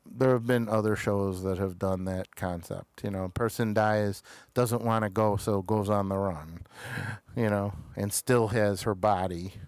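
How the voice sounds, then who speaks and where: A man's voice: mid-range voice, darkish tone, clear, resonant tone, dry recording. A man hosting a casual review chat, often hesitant as he searches for words and details. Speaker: show host reviewing television episodes.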